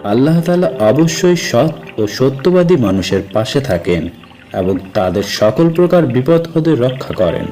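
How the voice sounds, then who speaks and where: A man's voice: mid-range voice, big pitch swings, normal speed, mellow, natural voice. A man playing an honest, pious shopkeeper in a moral cartoon, preaching patiently and earnestly. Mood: earnest, reassuring. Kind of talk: moral story cartoon dialogue. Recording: music behind the voice.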